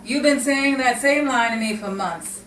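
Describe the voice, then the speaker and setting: A woman's voice: on the high side, normal speed, fairly bright tone, lightly acted. An actress playing a wry, level-headed working girlfriend with a dry, teasing manner, in 1950s radio drama style. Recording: echoey distant mic, noisy with crowd.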